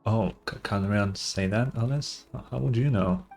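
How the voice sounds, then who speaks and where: A man doing casual reaction commentary as a game streamer, thinking aloud haltingly and swearing casually. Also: on the low side, vocal fry, a little mellow, faint music behind.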